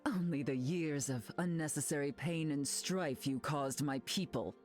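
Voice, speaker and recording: A woman's voice: low-pitched, measured pace. A woman playing a glamorous telepathic queen in a video game, poised, theatrical and condescending. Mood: disdainful. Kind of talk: video game hero voice lines. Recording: game dialogue over loud music.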